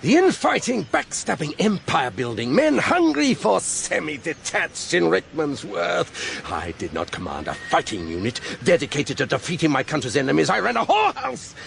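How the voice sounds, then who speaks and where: An actor playing an embittered, pompous older intelligence chief in a radio drama, ranting in oratorical style. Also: male voice, on the high side, wildly swooping pitch, moderate pace, gravelly, few pauses, a bit bright, slight background noise, exaggerated voice. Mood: bitter ranting. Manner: pompous, oratorical, swinging from icy restraint to ranting.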